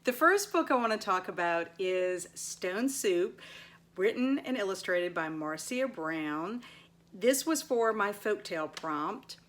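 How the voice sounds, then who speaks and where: A woman talks through books in a casual vlog style. Her pace is slow and unhurried, with a medium pitch for a woman. She leans on certain words for emphasis as she recaps each story. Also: animated, a bit bright. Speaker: book reviewer and readathon host; teacher. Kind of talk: casual book review vlog, recapping and summarizing stories.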